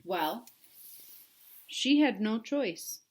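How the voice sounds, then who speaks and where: A woman reading a picture book aloud to young children, pausing to explain things simply and ask them questions. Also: on the high side, lively intonation, a little mellow. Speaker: read-aloud storyteller for children.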